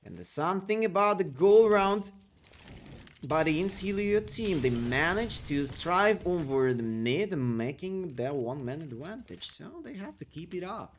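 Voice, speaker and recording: A man's voice: on the high side, measured pace, slight background noise. A man casting an esports match solo on a live stream, speaking non-native English with slightly broken phrasing and chatting with viewers between plays. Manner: excitable play-by-play calls, chatty with viewers. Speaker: esports caster. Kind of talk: solo live game commentary and chat replies.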